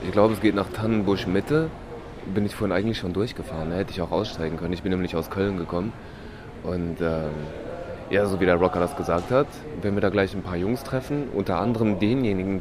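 A man hosting a podcast, talking in a relaxed, chatty way with slang and fillers while he has a cold. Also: deep voice. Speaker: podcast host. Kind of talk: casual podcast chat.

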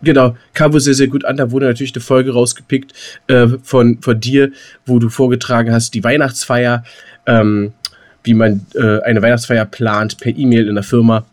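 Brash man bantering, medium-pitched voice. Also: level tone. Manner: brash, sweary, halting with repeated words. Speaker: podcast co-host. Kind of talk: casual comedic podcast banter.

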